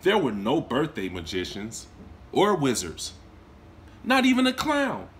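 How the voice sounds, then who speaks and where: Man in his thirties, a teacher reading a picture book aloud with lots of swing in his voice, mid pitch, recorded on a phone in a small room. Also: slight background noise. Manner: expressive storytelling with lively swings in pitch and volume; warm, encouraging talk to young children. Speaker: kindergarten teacher. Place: small indoor room, seated on a couch.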